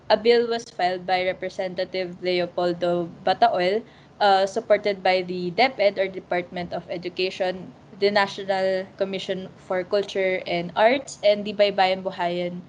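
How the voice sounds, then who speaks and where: A woman's voice: medium pitch, a little mellow, poor audio. Young Filipino-accented female student, earnest explainer, faint background noise. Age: young adult. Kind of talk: class group discussion.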